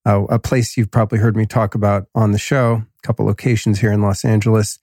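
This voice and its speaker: A man's voice: fairly low pitch, little pitch movement, fast, a little mellow, breathy edge, dry recording, studio quality. A man hosting a podcast, talking in a laid-back, slangy, enthusiastic way, slightly nasal from a cold. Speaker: podcast host. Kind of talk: casual podcast intro and ad reads.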